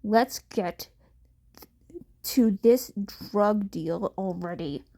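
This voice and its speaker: An angry teenage boy hero intern acted by a woman growls his lines in a gruff, lowered voice. He is abrasive and combative, in a dramatized story. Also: very slow, mellow. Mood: growling, irritated. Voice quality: deeper, gruff.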